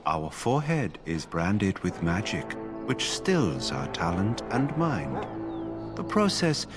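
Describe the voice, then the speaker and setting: A man playing an emotionless mage in a fantasy game, speaking in a formal, detached, measured manner. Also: sits low, very animated, full character voice. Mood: detached calm. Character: emotionless branded male mage, calm enchanter stripped of feeling. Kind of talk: video game dialogue. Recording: game music loud behind the voice.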